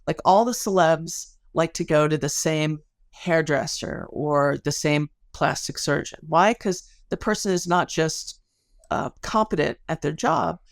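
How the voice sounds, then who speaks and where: Female academic guest, creaky voice in a dry recording, explaining conversationally with everyday examples. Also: low-pitched, a little slow, no echo. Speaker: interview guest, academic researcher. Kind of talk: conversational interview, explaining research.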